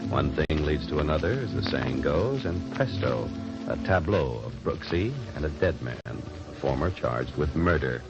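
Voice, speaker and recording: A man's voice: a bass voice, animated, normal speed, over loud music. A man announcing on a 1940s radio show, delivering scripted narration in a dramatic, polished salesman's manner on a muffled old recording.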